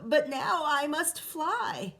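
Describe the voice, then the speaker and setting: A woman's voice: sits high, sing-song, takes it slowly, a bit bright, voice pushed up, full character voice. A woman voicing a frightened, apologetic male dragon in a storybook, spluttering and stammering.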